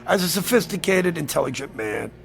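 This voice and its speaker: Sly old devil, very gravelly, high-pitched, wheedling, mock-polite, radio drama with music underneath. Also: male voice, expressive, fairly quick. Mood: sly persuasion. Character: sly, gleeful devil posing as a crazy old man.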